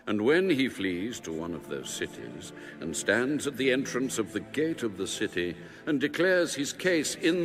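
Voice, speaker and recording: A man narrating scripture in a formal, scripted audiobook style. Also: fairly low pitch. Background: background music.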